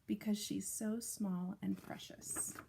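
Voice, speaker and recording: A woman voicing a patient, reassuring mother duck from a storybook, calm and assured. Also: medium pitch, takes it slowly, vocal fry, a little hiss or hum.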